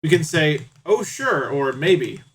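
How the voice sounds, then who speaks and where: A man's voice: fairly high pitch, big pitch swings, takes it slowly. A man doing casual live game commentary, chatty and playful as he talks to his viewers. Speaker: live streamer.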